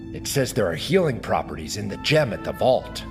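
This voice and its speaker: A man playing an eager, helpful crewmate in an animated cartoon. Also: on the low side, unhurried, music in the background. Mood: hopeful.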